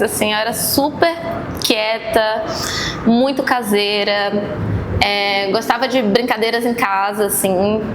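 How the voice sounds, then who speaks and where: A woman in her thirties from Bahia, reflective and quick to laugh, being interviewed for a documentary. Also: fairly high pitch. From Bahia, Brazil. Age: 30s. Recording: roomy echo, clear background noise.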